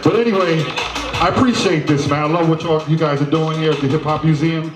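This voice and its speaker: A man's voice: fairly high pitch, fairly quick, roomy sound, over music. A man from Trinidad giving a loose, grateful acceptance speech as a DJ honoree, full of fillers.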